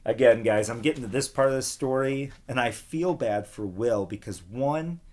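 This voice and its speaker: A man reading a children's storybook expressively, with dramatic pauses and casual asides that question the young listeners. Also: medium pitch, a little mellow. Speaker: read-aloud storyteller for children.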